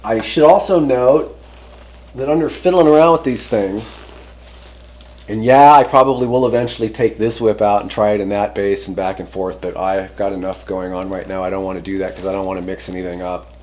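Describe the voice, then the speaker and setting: A man presenting a product review in casual, unscripted talk, rambling conversationally and often pausing to rephrase himself. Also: on the low side, steady tone, a little mellow. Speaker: host reviewing CB radio antennas.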